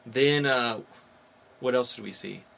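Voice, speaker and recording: A man's voice: medium pitch, unhurried, creaky, a bit bright, a little hiss or hum. A man co-hosting a podcast, chatting casually and enthusiastically about entertainment news in an informal fan's way. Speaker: podcast co-host.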